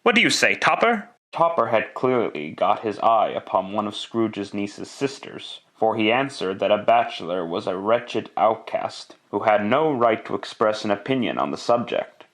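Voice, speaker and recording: A man's voice: on the low side, expressive, moderate pace, hardly any pauses. A man narrating a literary story in classic radio style, with a theatrical, relishing delivery.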